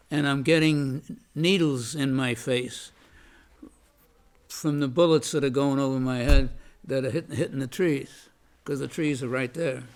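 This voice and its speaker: A gravelly older man telling his memories at a slow, unhurried pace. He stops and restarts often and leans on fillers. His voice is loud and pitched in the middle of a man's range. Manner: halting, many restarts and fillers; says he has a loud voice. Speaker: veteran interviewee. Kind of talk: oral history recollection.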